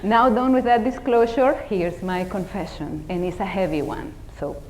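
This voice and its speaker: A woman's voice: medium pitch, moderate pace, noisy background. A middle-aged woman from the Dominican Republic with a Dominican Spanish accent, giving a humorous, self-deprecating motivational talk as keynote speaker.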